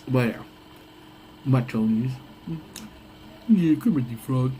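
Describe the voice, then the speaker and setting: A man's voice: mid-range voice, expressive, slow, dark tone, slight background noise, rough recording. A young man hosting a casual lunch-break live stream, rambling and laughing often, with a voice that sounds congested. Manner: rambling, laughs often, trails off mid-thought.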